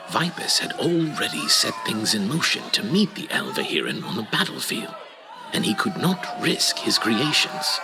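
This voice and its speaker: An elderly archivist , acted by a man, narrates a fantasy audio drama like a seasoned storyteller. His delivery swings widely in pitch and turns easily to conversational asides. Music plays clearly beneath him. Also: low-quality recording. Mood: wry explaining. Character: old male archivist telling war history to a young man.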